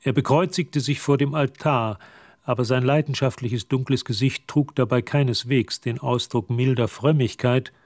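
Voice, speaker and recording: A man's voice: medium pitch, steady tone, normal speed, darkish tone, no room sound, studio quality. A man reading scripted literary narration for a German radio drama. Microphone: close, dry studio microphone.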